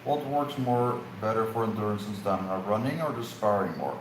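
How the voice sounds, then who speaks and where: A man co-hosting a live stream Q&A, chatting casually and halting as he searches for words. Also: on the low side, animated. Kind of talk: casual Q&A chat. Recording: echoey room, faint noise.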